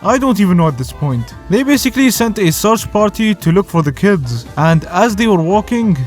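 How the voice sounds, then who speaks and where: Higher-voiced man, native Arabic speaker. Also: sing-song, normal speed, darkish tone. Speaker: video reviewer and host. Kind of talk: scripted review narration with casual asides. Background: faint music.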